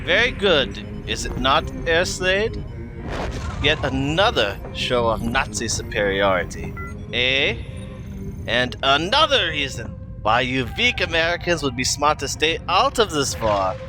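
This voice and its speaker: A man's voice: medium pitch, sing-song, measured pace, over music. A man playing a sneering, merciless Nazi general with a pompous, taunting manner in a melodramatic motion comic. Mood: gloating, menacing. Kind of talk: melodramatic adventure cartoon acting.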